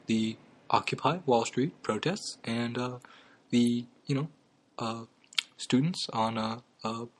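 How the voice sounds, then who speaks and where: A young man hosting a comedy talk show, delivering absurd claims in a halting deadpan full of ums and uhs. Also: mid-range voice.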